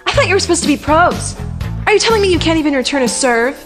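A high, very animated voice from a woman plays a hostile teenage athlete in an anime. She is scornful and aggressive. Loud music plays behind her. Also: fast. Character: aggressive, scornful teenage tennis-playing older sister. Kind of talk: anime dub acting.

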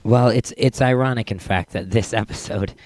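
An American man hosting a podcast, talking casually with a rough, under-the-weather voice. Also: fairly low pitch, normal speed, darkish tone. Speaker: podcast host. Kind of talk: casual podcast outro talk.

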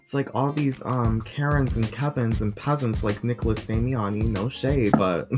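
A teenage boy with a deep voice, hosting his own vlog in a chatty, blunt rant that swears freely. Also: normal speed, music in the background. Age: teens. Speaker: vlog host. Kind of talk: casual ranting monologue.

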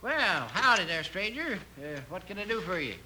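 A mischievous old frontier storekeeper in his eighties, high-pitched and folksy. He rambles along like an impish prankster in a radio Western. The vintage recording is clearly noisy. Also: very animated, normal speed. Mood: folksy helpful. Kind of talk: 1950s radio Western acting.

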